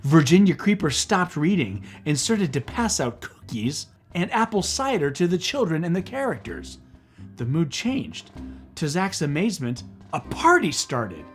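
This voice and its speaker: Animated male children's storybook reader. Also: on the high side, measured pace, faint music behind. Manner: dramatic, silly, playful. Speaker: comedian reading children's books aloud. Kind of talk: theatrical storybook narration.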